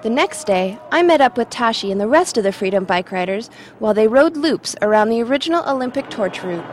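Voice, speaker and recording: A woman reporter giving calm, measured voice-over narration for a documentary news piece. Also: a little high, slight background noise.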